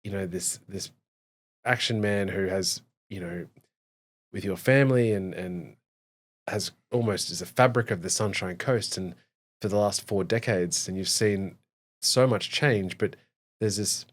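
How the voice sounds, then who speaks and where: A man hosting a podcast, reading a smooth intro and then asking long, rambling questions. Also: low voice, a little slow. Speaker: podcast host. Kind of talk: podcast host intro and interview.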